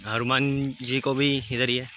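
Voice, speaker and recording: A man's voice: medium pitch, level tone, unhurried, fairly bright tone, slight background noise. A young man in his twenties giving a casual phone vlog tour of a large factory shed, chatty and keeps pointing things out. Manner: chatty, keeps saying "dekho" and "barabar". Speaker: vlogger. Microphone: phone held at arm's length.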